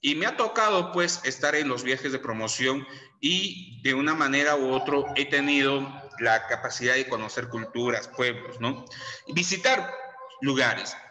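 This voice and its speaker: Chatty Peruvian teacher in an echoey room. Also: male voice, medium pitch, lively intonation, normal speed, bright, forceful tone. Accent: Peruvian Spanish. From Peru. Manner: chatty, lots of tag questions and fillers. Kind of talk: classroom lecture. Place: classroom. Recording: echoey room with long reverb.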